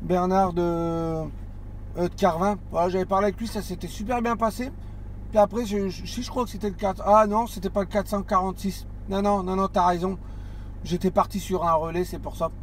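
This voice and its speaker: A man's voice: sits high, steady tone, brisk, a little hiss or hum. A man chatting on CB radio from a parked car, hesitant, with many restarts and fillers. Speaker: CB radio operator. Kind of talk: casual radio chat.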